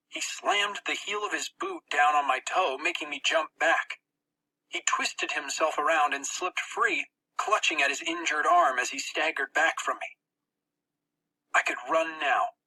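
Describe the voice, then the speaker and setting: A narrator reading a first-person story for an audiobook in a tense, expressive, dramatic way. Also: medium pitch, breathy edge, rough recording.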